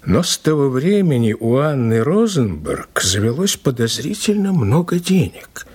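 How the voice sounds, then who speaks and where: An actor in an audio play as a respectful police inspector, recounting a case in an emphatic, dramatic way with a slightly husky voice. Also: male voice, mid-range voice, unhurried. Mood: gravely narrating. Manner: animated, respectful, emphatic storyteller.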